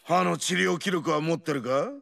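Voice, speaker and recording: An actor playing a brash, cocky ageing brawler in a video game, with rough, taunting talk and a gravelly voice. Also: male voice, a little high, normal speed, a bit bright. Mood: mocking, taunting. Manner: rough, swaggering, taunting slang. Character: brash, rowdy, cocky ageing male explosives-loving brawler. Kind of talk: video game character voice lines.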